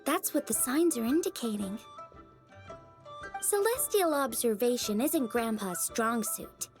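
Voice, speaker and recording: A woman playing an eager, friendly young village woman in an anime game, girlish, earnest and expressive. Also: fairly high pitch, unhurried. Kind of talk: English anime game voice acting. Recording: music under the voice. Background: music.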